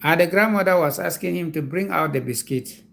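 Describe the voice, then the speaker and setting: A man with a Nigerian accent hosting a comedy livestream, talking casually and explaining things slowly, repeating himself. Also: on the high side, creaky, fairly bright tone. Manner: slow, repetitive, lecturing explanations.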